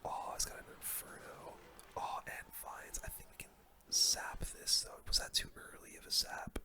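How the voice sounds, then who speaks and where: A gaming host doing casual ASMR commentary in a soft, breathy, unvoiced whisper, murmuring play-by-play with gamer slang close to the mic. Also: normal speed.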